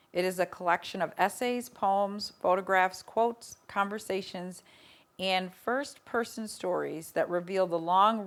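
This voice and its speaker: A woman's voice: fairly low pitch, fairly bright tone. Formal woman reading an introduction. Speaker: event presenter.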